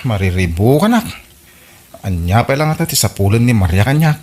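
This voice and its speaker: A man's voice: fairly low pitch, big pitch swings, brisk, background noise. A man with an Ilocano accent playing a boastful, lovesick young suitor in a radio comedy drama. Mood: boastful, puzzled.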